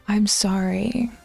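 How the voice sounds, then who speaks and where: A woman's voice: medium pitch, vocal fry, dark tone, breathy edge. A young woman with an Auckland New Zealand accent chatting casually with her stream chat, rambling, swearing and sometimes dropping into whispers.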